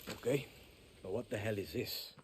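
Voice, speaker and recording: A man's voice: lowish voice, moderate pace, very noisy, natural voice. A man playing a blunt, skeptical young recruit. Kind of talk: video game cutscene acting.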